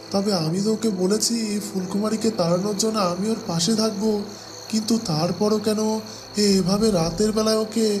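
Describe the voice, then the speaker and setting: Casting: actor for worried cartoon court minister, high-pitched, stammering and muttering, music and night insects behind. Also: male voice, lightly acted. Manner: halting, stammering, muttering to himself. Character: fretful royal minister, worried scheming father. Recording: loud music and chirping crickets behind.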